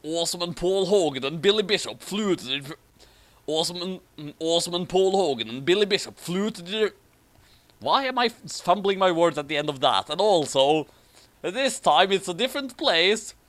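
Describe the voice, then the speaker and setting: A man reading a story aloud in an animated, dramatic way with joking commentary. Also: high voice, moderate pace. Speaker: host reading fan fiction aloud.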